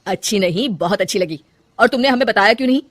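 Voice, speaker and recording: A woman's voice: medium pitch, fast talker, no echo, studio quality, natural voice. A woman voicing an affectionate young husband in a cartoon story, speaking earnestly and pleading with his wife. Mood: warm, supportive. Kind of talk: Hindi cartoon moral story acting.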